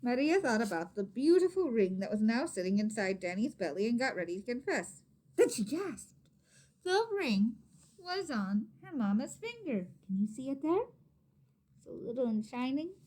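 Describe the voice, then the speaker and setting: A woman reading a story aloud to children expressively, with dramatic emphasis and hushed asides to her listeners. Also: on the high side, very animated, normal speed. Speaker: teacher or reader of a children's story.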